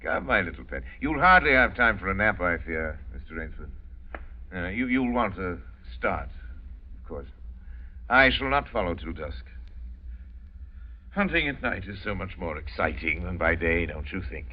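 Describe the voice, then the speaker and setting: An actor playing a suave, sinister aristocratic general who hunts men, urbane and mock-courteous, in 1940s radio drama style. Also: male voice, low-pitched, big pitch swings, normal speed, pauses often. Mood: languid menace. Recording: muffled old radio recording.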